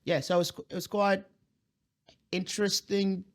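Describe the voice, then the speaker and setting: Flat-voiced man from South Sudan. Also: high-pitched, normal speed. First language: Dinka. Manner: hesitant, many fillers and restarts. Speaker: guest. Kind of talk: interview storytelling.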